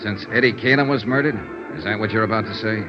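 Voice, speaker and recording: An actor playing a hardboiled insurance investigator in old-time radio drama, dry, wry and clipped in manner. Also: male voice, fairly low pitch, quick pace, lightly acted. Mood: probing, cool. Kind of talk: 1950s radio detective acting, first-person narration. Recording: dull old radio recording, music behind. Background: music.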